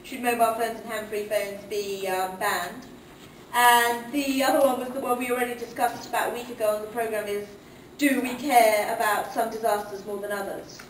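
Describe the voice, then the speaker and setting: A woman's voice: a little high, fairly even intonation, normal speed. A woman editing a radio show, leading an editorial meeting and asking questions with frequent ums. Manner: leads discussion, asks questions, hesitant ums. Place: conference meeting room. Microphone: distant room mic. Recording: echoey room from far off, faint noise.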